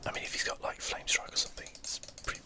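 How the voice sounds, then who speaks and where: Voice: fast, pushed and bright. A gamer whispering casual ASMR commentary while playing, thinking aloud. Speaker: video game streamer.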